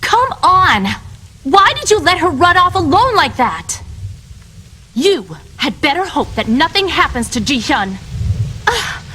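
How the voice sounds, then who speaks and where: A young woman voicing a moody, blunt high school girl in a video game, with a sarcastic, scolding manner. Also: big pitch swings, measured pace, bright, forceful tone, a little hiss or hum, exaggerated voice. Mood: alarmed, scolding. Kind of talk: English video game voice acting.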